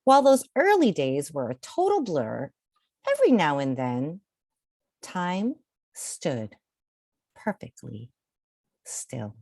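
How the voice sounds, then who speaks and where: A woman reading aloud to children in an expressive, theatrical storytelling style, drawing out key words. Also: deep voice, takes it slowly, pauses often, no room sound. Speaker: children's picture book reader.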